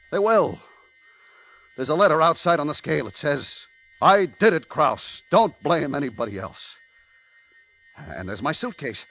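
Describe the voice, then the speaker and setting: A trapped panicky clerk in an old radio thriller, rambly and halting, voice all over the place, muffled old recording. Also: male voice, mid-range voice, a little hiss or hum. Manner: halting, repetitive, rambling, haunted. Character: trapped, frantic young man, a store clerk confessing his tale. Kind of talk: 1950s radio thriller acting, first-person monologue. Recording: dull, lo-fi 1950s radio recording.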